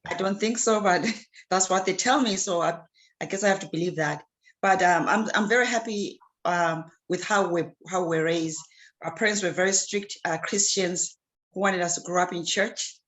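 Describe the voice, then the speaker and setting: A woman from Zimbabwe, an interview guest who answers earnestly and often pauses on fillers and restarts. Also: lowish voice, a bit bright, rough recording. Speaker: guest, clean water advocate.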